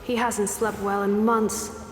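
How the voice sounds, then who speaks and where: A woman's voice: mid-range voice, level tone, slow, noisy background, lightly acted. A woman playing a weary, defiant wife in an audio drama, speaking in a resigned, wry way. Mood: weary, tender. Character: weary, defiant wife living under a totalitarian regime.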